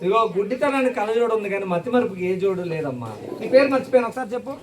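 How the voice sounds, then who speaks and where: A woman's voice: mid-range voice, expressive, very fast. A woman hosting a children's puppet show with playful, teasing banter, repeating phrases and calling out to the audience. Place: church hall with children in audience. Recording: echoey hall, distant mic, loud crowd.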